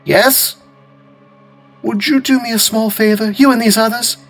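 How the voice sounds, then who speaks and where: A man voicing a grieving young housewife who is secretly cunning, speaking in a coaxing, falsely gentle way with a husky edge. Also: high-pitched, fairly even intonation, unhurried, faint music behind, pitched above the performer's own voice. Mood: sweetly pleading.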